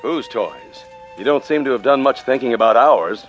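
An actor playing a stern, impatient company boss in a radio drama, curt and authoritative. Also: male voice, medium pitch, expressive, normal speed, lo-fi sound, soft background music, close to an everyday voice. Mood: suspicious, curt.

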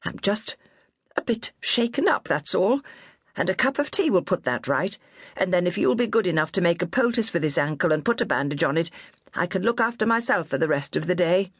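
A woman voicing a proud, obstinate little old lady who speaks sharply and curtly in a breathy near-whisper. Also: lowish voice, lively intonation, fairly quick, dry recording, studio quality, voice pushed up.

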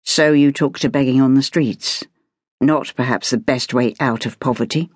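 A narrator playing an educated, patient gentleman academic in an audiobook, speaking in a measured, formal and precise way. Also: medium pitch, husky, no room sound, clean studio sound, lightly acted. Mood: thoughtful.

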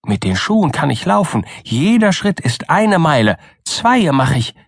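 A man's voice: on the high side, very animated, unhurried, no echo, studio quality, pitched above the performer's own voice, exaggerated voice. A man voicing a tiny, friendly, magical little man from a storybook, cheerful and lilting. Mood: proud, chatty. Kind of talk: storybook character voice.

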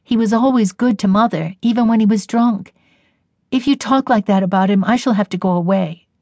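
Woman doing a worn-out earnest young girl, quiet, plain, dry sound. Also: medium pitch, a little fast, dry recording, pitched above the performer's own voice, lightly acted. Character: grave, weary young woman, earnest and gentle.

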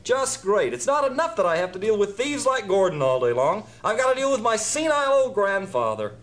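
A man's voice: sits high, very animated, a little fast, fairly bright tone. A man playing a harried, sarcastic theme park manager in an audio drama, talking with exasperated emphasis. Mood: exasperated sarcasm.

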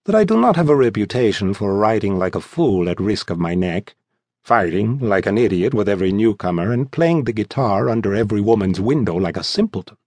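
A man's voice: lowish voice, lively intonation, fairly quick, a little mellow, no room sound. A male reader acts out a refined young nobleman for an audiobook. He sounds languid and superior, choosing his words formally and with disdain. His intonation moves expressively, and the sound is close and dry. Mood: haughty, offended. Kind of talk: audiobook character voice.

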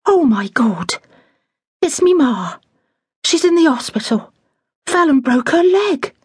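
A flustered middle-aged housekeeper, bossy and quick to make a drama of things, as a woman reads her in a storybook. Her voice sits a little above the reader's own, slightly husky, with lively swings in pitch. The sound is close and dry. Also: no room sound. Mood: panicked. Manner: fussy, dramatic, blunt.

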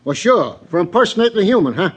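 A bemused, high-voiced police sergeant. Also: male voice, big pitch swings, fast. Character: gruff, slow-witted police sergeant. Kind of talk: 1950s radio drama acting. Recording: noisy old radio recording.

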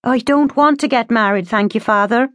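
A woman's voice: fairly high pitch, normal speed, fairly bright tone, no room sound, pitched above the performer's own voice, natural voice. A woman playing a defiant, outspoken young woman of twenty-one in an audiobook, blunt and firm. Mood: polite refusal.

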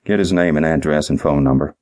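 A man's voice: rumbling low, fast talker, a little mellow. Man playing a sarcastic, exasperated police detective. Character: male police detective, weary, sarcastic, impatient with fools.

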